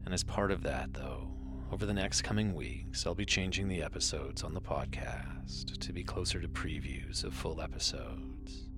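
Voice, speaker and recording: A man with an extremely low voice introduces a relaxation podcast. His delivery is calm, quiet and soothing. Music plays clearly behind him. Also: normal speed, hardly any pauses. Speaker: podcast host and founder.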